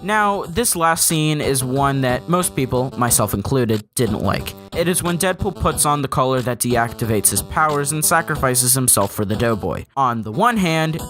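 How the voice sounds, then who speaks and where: A man narrating an opinionated comedic review in a sarcastic, ranting, sweary manner. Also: mid-range voice. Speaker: video reviewer and host. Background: background music.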